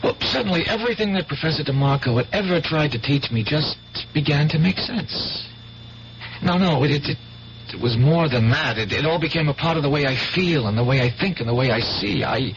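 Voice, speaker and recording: Earnest, creaky-voiced painter. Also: male voice, fairly high pitch, lively intonation, fairly bright tone. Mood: rapt, intense. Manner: earnest, halting, dramatic. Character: earnest, dreamy male painter, evasive and intense. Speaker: radio drama actor. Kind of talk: 1970s radio drama acting. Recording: muffled 1970s radio broadcast, faint hum.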